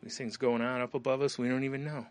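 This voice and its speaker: A man's voice: medium pitch, flat delivery, fast. A man co-hosting a podcast, telling a rambling story in casual chat with lots of fillers and frequent laughs. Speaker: podcast co-host.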